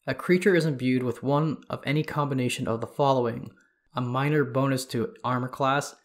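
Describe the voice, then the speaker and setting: A man hosting a podcast, sounding congested as he reads lore in a steady, measured way. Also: medium pitch. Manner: steady, measured reading of reference material. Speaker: podcast host. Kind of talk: scripted lore narration.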